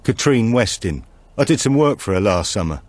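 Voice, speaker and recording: A man playing a nervous male petty thief in an audiobook, evasive, halting and repeating his words. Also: lowish voice, sing-song, darkish tone, no echo.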